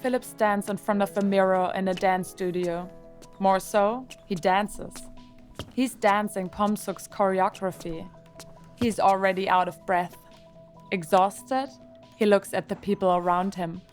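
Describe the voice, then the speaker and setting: A woman narrating an audio drama in a calm, measured way. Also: mid-range voice, faint music behind.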